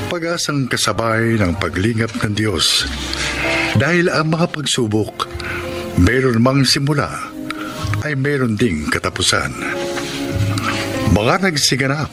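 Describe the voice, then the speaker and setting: Radio drama announcer, male, solemn and unhurried, expressive delivery. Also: medium pitch, music in the background. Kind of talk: solemn scripted narration.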